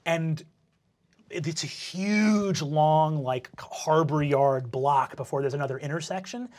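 A man's voice: on the high side, unhurried. A young man running a tabletop game, narrating scenes in a casual, descriptive way with slang and swearing. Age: young adult. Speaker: game master. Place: indoor studio set at a game table.

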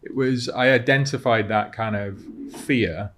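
A British man in his thirties telling his personal story conversationally as a podcast guest, reflective and a little halting. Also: medium pitch, a bit bright. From Cardiff, Wales. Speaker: podcast guest, content creator and model. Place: podcast studio. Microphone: close studio mic on desk stand.

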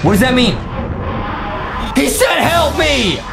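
A man's voice: very high voice, very animated, takes it slowly, creaky. A young man hosting a reaction video, giving hyped live commentary full of exclamations, slang and repeated catchphrases. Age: young adult. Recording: loud music under the voice.

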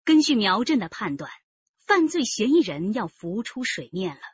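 A woman's voice: medium pitch, very animated, moderate pace, husky, no echo. A woman giving dramatic scripted narration for a radio drama. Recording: dull, dry studio recording.

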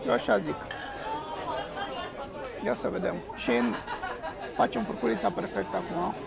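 Young man with a high, gravelly voice. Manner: enthusiastic, exclaims while tasting. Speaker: food vlogger. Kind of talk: casual food review to camera. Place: busy rooftop restaurant terrace, outdoors. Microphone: camera on the table. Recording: crowd chatter on a terrace. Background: diners chattering.

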